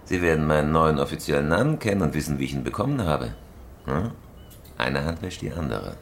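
A man's voice: a bass voice, lively intonation, a little fast, a little hiss or hum. A man playing a cool, hard-nosed professional robber in a German radio crime drama, terse and businesslike. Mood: calm, sly. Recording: loud music bed.

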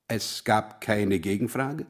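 An actor in a German radio drama playing a worldly, self-assured, melancholic mathematician with a wry, boastful manner. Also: male voice, lowish voice. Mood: declarative, measured. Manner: wry, boastful, talks in measured pronouncements.

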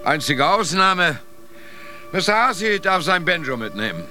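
A man's voice: sits high, sing-song, a little slow, sharp tone, faint music behind, heavily acted. An actor playing a resolute expedition leader in a radio drama, addressing his men in a declamatory, commanding way. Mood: resolute, rallying.

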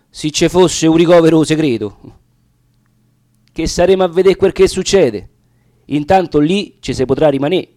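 A man with a Roman accent reciting narrative dialect verse theatrically, with deliberate, expressive pauses. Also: a little high. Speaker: actor reading poetry.

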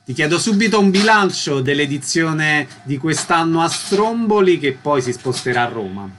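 A woman's voice: deep voice, big pitch swings, moderate pace, fairly bright tone, a little hiss or hum. A woman hosting a scripted radio interview, introducing guests and putting questions to them.

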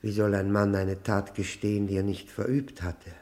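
An actor playing a broken, despairing long-term convict in a radio drama, speaking haltingly and repeating his words as he falters. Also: on the low side, little pitch movement, darkish tone, close to an everyday voice. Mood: weary, bitter.

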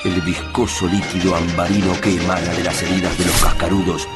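A man's voice: low voice, little pitch movement, brisk. A man narrating a radio drama from a script in a solemn, intense, dramatic manner. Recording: clear music under the voice.

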